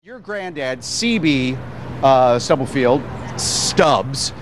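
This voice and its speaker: A man's voice: medium pitch, lively intonation, slow pace, slight background noise. A man bantering casually as a TV interviewer.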